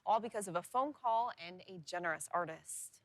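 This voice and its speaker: A woman's voice: medium pitch, brisk, no room sound. A woman presenting the weather on a TV newscast in a conversational style. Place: TV news studio.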